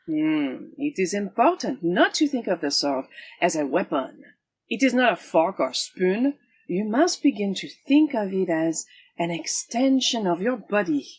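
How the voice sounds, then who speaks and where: A woman's voice: mid-range voice, very animated, a little slow, a little mellow, breathy edge. A woman playing a proud, teasing swashbuckling swordswoman in an audio drama, with a theatrical, imperious manner. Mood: stern, exasperated. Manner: theatrical, formal phrasing, imperious.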